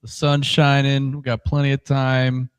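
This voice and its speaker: A man's voice: medium pitch, completely flat delivery, a little slow, clear, resonant tone. A man appearing as a guest on a podcast, talking in an easygoing, friendly way. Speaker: guest documentary filmmaker. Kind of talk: casual podcast conversation.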